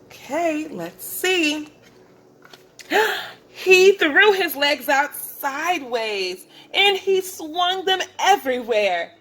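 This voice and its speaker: A woman reads a children's storybook in a high voice at a very slow pace. Her delivery is expressive and deliberate, like a preschool teacher addressing young listeners. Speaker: preschool teacher reading aloud. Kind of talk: children's storybook read-aloud.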